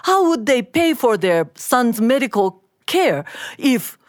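A woman telling a folktale live, in an expressive, deliberate and dramatic manner. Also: fairly high pitch, no echo, studio quality. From Japan. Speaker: storyteller. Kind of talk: live oral storytelling of a folktale.

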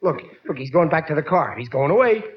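A man's voice: a little high, animated, fairly quick, lightly acted. An actor playing a scheming, blustery working-class family man in 1940s radio comedy style. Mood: hushed, smug. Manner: blustery, cocksure, chuckling at own cleverness. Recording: noisy, muffled vintage 1940s radio recording.

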